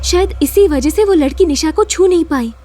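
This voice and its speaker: A woman's voice: extremely high pitch, normal speed, mellow. A woman acting an inquisitive, perceptive young friend in an audio drama, speaking earnestly. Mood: thoughtful.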